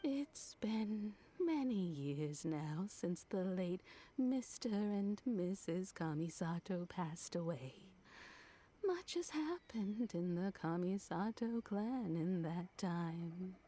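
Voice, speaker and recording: Slow-spoken, gracious old woman. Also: on the low side, animated. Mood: tender, nostalgic. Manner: polite, affectionate, gently effusive. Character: kindly old female household servant, warm and gracious. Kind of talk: video game voice acting.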